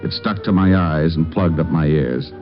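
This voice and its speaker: An actor playing a cool, flirtatious private detective who also narrates in the first person, in a 1950s radio drama with a dry, wry delivery. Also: male voice, a bass voice, normal speed. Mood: narrating. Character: wisecracking male private eye, cool and flirtatious. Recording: dull old radio broadcast with music.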